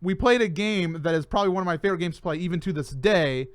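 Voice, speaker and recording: A man hosting a casual podcast chat, animated and full of stammering restarts. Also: high voice, quick pace, no room sound, clean studio sound. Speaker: host.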